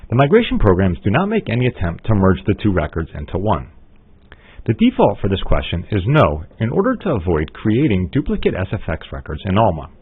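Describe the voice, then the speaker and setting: A man with a fairly low voice narrates a software tutorial through a narrow, phone-like recording. His delivery is methodical and scripted, yet his intonation moves up and down a good deal as he explains each step. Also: huge pitch swings, a little fast, husky. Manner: methodical, instructional. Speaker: software trainer. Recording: muffled, phone-like narrow-band audio.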